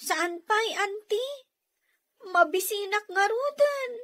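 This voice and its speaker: A woman's voice: very high voice, expressive, slow, a bit bright, heavily acted. A woman playing a meek, overworked young girl in an Ilocano radio drama, with an Ilocano accent and a plaintive, pleading manner.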